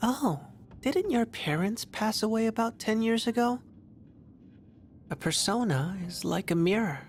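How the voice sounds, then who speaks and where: Soft, enigmatic boy, light voice. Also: fairly high pitch, gravelly, exaggerated voice. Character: mysterious soft-spoken young boy, calm and gentle. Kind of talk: video game voice acting.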